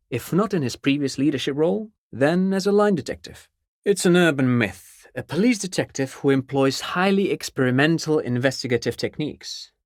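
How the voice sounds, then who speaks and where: A man's voice: mid-range voice, dry recording, clean studio sound. An actor playing an eager, erudite academic consultant in a game, articulate and long-winded, musing and politely hedging as he speaks. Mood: earnest, theorizing.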